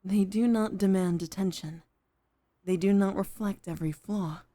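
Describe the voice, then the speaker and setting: A woman playing a poised, gentle head maid off duty in an intimate ASMR roleplay, speaking with formal, measured politeness. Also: medium pitch, fairly even intonation, close to an everyday voice. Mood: calm, confiding.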